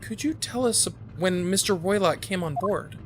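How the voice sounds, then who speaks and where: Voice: medium pitch, normal speed, noisy background, lightly acted. A player reading the lines of a courteous young male student from a video game, formal and eager, in a slightly raised voice. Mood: polite.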